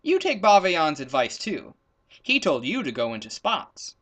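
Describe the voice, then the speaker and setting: Guy doing a bossy practical hunter in a kids story, brisk, telling his pal what to do, super animated. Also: on the high side, heavily acted. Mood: persuading. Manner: brisk, instructive. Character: practical, bossy, persuasive Ethiopian hunter man. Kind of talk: storybook character voice in a read-aloud.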